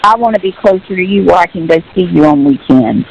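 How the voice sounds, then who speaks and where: An older woman on a personal phone call, speaking casually and earnestly giving advice in a halting, repetitive way. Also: on the low side, lively intonation, vocal fry, slightly rough. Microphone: telephone. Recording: hissy, noisy phone call.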